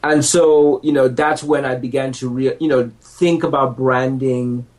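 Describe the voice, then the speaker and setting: A man in his thirties, mid-pitched, talks loosely and laughs at himself as a podcast guest. He restarts sentences often and rambles a bit before getting to the point. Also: steady tone, unhurried, darkish tone. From United States. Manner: self-deprecating, laughs easily, rambling restarts. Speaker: podcast guest, lawyer and entrepreneur. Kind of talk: relaxed conversational interview. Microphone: handheld microphone.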